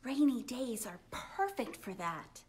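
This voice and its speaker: A woman voicing an excitable young girl in a storybook, speaking eagerly and sometimes in a breathless whisper. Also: a little high, slow, a little hiss or hum.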